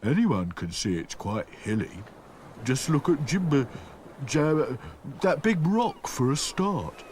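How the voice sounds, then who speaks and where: A man voicing a slow, literal-minded and puzzled male rag doll in a cartoon. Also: mid-range voice, very animated, darkish tone, a little hiss or hum, pitched above the performer's own voice.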